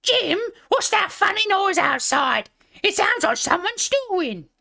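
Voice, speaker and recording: A man voicing an anxious, insistent woman in a storybook caravan, in a shrill falsetto. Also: sing-song, unhurried, gravelly, sharp tone, exaggerated voice. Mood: alarmed, nagging.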